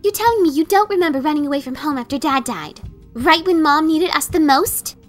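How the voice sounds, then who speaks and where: A blunt pegasus pony mother, voiced by a woman in a fan audio drama. She speaks very high, scolding and incredulous, with a resentful edge, over a light music bed. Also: brisk, lightly acted. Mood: angry, accusing. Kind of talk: fan dramatic reading of a story.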